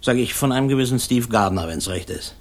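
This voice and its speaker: An actor playing a plain-spoken, chatty uncle who talks in a colloquial, anecdotal way in a crime radio drama. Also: male voice, mid-range voice, lively intonation, fairly quick. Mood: intrigued recounting.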